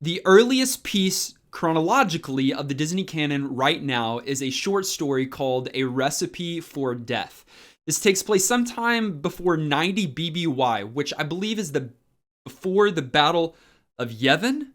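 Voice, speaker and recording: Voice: mid-range voice, lively intonation, normal speed, a bit bright. An informal, enthusiastic video host talking casually through a review and repeating words for emphasis. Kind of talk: casual chatty review vlog to camera.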